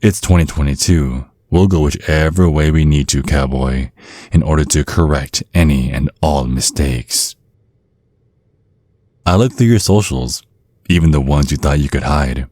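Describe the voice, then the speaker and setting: A man's voice: a bass voice, measured pace, darkish tone, dry recording. A man playing a centuries-old vampire father in an ASMR roleplay, protective and slyly polite, teasing with veiled threats. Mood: veiled menace. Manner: mock-courteous, insinuating, teasing with veiled threats.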